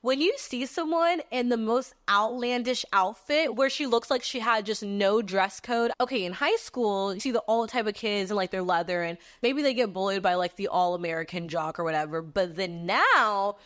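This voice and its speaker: Loud, outspoken young woman podcaster. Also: moderate pace, hardly any pauses, no echo, studio quality. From Texas. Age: 20s. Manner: animated, swears casually, shifts between squeaky and low voices. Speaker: podcast host. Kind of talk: casual monologue.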